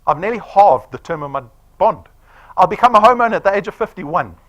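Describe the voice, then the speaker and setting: A man's voice: high-pitched, fairly quick, highs cut off. A man in his forties giving a persuasive sales presentation to a live audience, often checking in with "right?". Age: 40s. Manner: animated, persuasive, quizzing the room with "right?".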